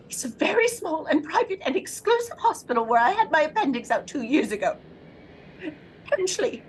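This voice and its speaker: An actress playing a frantic, demanding invalid wife, agitated and imperious, in a suspense audio drama. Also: female voice, very high-pitched, a little hiss or hum, heavily acted, low-quality recording. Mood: demanding, nervous. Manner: agitated, imperious, breathless pleading.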